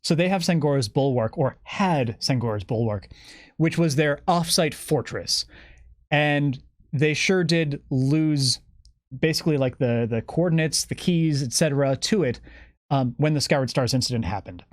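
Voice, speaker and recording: A man's voice: a little high, moderate pace, no echo, clean studio sound. A man chatting unscripted as a guest explaining game lore, with a wry, playful manner.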